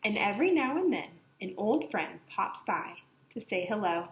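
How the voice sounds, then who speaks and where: A woman narrates a picture book for children in a lively, swooping voice of medium pitch. She leans on words for dramatic effect. The room is echoey, with slight noise behind her. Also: moderate pace. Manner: expressive, dramatic emphasis for children. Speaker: storybook reader. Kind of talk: animated children's read-aloud narration.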